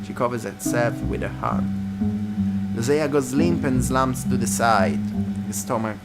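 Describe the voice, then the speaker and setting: Creaky-voiced man reading a game aloud. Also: mid-range voice, unhurried, poor audio. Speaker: gaming video host. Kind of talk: reading a visual novel aloud with casual commentary. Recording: loud guitar music behind the voice. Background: plucked guitar music.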